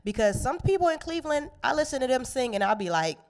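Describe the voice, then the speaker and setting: A young woman, a singer and actress, talking casually about herself in an interview, relaxed and chatty with easy laughs. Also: sits high, quick pace. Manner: relaxed, chatty, laughs easily, many fillers.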